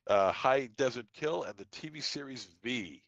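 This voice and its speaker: A man with a head cold co-hosting a podcast, reading dates and film titles with quick offhand asides. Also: medium pitch, expressive, a bit bright, no echo, muffled recording. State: congested from a cold. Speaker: podcast co-host. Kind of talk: casual podcast segment reading trivia.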